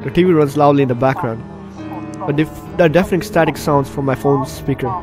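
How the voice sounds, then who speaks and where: A man's voice: medium pitch, fairly quick. A man doing gameplay commentary, reading story text aloud dramatically and stumbling over words now and then. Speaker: gaming video host. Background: game music.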